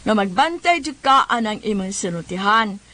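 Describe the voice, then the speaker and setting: An actress with a Cebuano Filipino accent playing an exasperated woman who scolds with drawn-out, emphatic words in a comedy radio drama. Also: medium pitch, animated, bright, forceful tone. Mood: scolding. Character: exasperated scolding woman nagging a hot-headed man.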